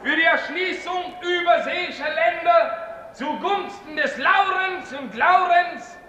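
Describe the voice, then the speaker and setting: High-pitched, pompous tyrant boss. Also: male voice, slow, sharp tone, a faint crowd, heavily acted. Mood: imperious. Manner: pompous, imperious, theatrical. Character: tyrannical, capricious, self-important male director-general ruler. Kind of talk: 1950s German radio drama acting. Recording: noisy, muffled vintage radio recording.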